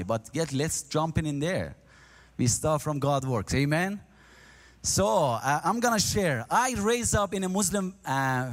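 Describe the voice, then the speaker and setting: Azeri-speaking guy giving his testimony at church, kinda high voice, broken english, echoing around a big hall. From Iran, near the Turkish border. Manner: earnest, halting non-native English, grows animated while telling his story. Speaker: guest speaker. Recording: long hall reverb.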